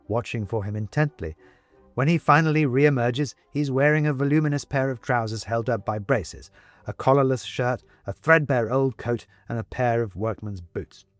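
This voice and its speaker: A man narrating an audiobook story in a deliberate, expressive, literary delivery. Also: medium pitch, dry recording.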